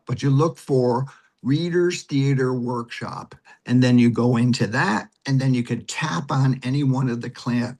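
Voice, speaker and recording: Husky man explaining things conversationally. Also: medium pitch, a little mellow. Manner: explanatory, step by step. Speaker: guest, theater director and producer. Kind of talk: conversational interview answers.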